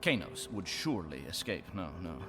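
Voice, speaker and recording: A man's voice: sits low, very animated, moderate pace, a bit bright. An actor playing a cruel, imperious male warlord who barks threats and clipped orders in a space opera audio drama. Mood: cold, commanding. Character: menacing Imperial warlord, a cruel commanding man.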